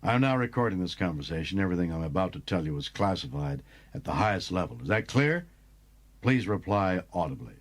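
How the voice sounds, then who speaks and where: Man acting formal admiral with animated delivery. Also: low voice, brisk. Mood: stern. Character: authoritative, formal male admiral, smooth then stern.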